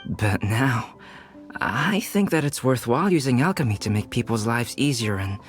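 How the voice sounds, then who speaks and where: An actor playing a polite, scholarly young alchemist in a video game, speaking in a measured, formal, understated way. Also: male voice, medium pitch, lively intonation, lightly acted. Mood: composed, helpful. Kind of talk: video game cutscene acting. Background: music.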